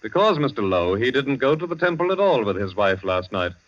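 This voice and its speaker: An actor playing a sardonic, methodical police chief questioning a suspect in formal 1940s radio style. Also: male voice, medium pitch, sing-song, brisk, close to an everyday voice. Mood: dry, confident. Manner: formal, dry, sardonic, probing. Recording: dull vintage 1940s radio recording.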